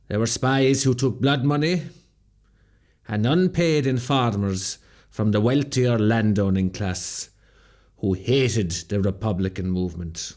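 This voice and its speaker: An actor playing a grave rebel commander recalling a war, in measured, solemn radio drama narration. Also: male voice, lowish voice, a little mellow, natural voice. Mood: bitter, solemn. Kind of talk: scripted first-person drama narration.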